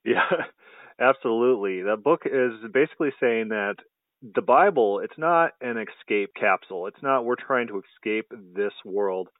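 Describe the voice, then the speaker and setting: A man's voice: on the low side, animated, moderate pace, no room sound. A man hosting an interview show, introducing his guest and asking questions in an enthusiastic, conversational way. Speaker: podcast host. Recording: dry, phone-like audio.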